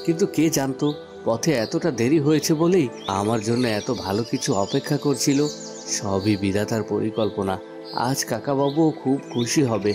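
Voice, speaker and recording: A man's voice: mid-range voice, natural voice. A man voicing a good-natured, honest young village milk seller in a cartoon. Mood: contented. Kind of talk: cartoon voice acting. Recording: music and birdsong behind the voice.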